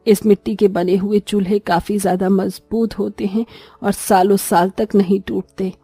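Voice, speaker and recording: A woman's voice: mid-range voice, level tone, moderate pace, warm tone, slightly rough, dry recording, soft background music. A woman voicing a kindly, motherly old village woman who speaks reassuringly in a cartoon story. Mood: gentle, reassuring.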